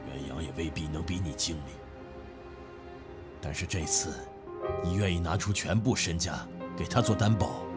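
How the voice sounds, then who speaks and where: An actor playing a stern older father in an audio drama, speaking in a measured, weighty way. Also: rumbling low. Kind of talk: Chinese audio drama acting. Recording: background music under the dialogue.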